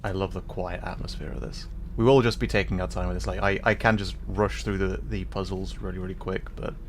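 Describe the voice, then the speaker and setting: A man's voice: low voice, steady tone, a little fast. A man casually commenting while he plays a video game on stream, his voice a bit scratchy today, reading warning signs aloud with light jokes. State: slightly scratchy voice. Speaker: gaming streamer. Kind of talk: casual let's-play commentary. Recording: game music behind voice.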